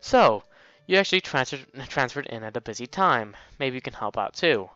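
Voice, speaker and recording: A man's voice: medium pitch, animated, normal speed, sharp tone, dry recording, dull audio, faint music behind. A man voicing a cheerful, flustered teenage schoolgirl from a visual novel, in a hesitant, bubbly, informal way. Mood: helpful, chatty.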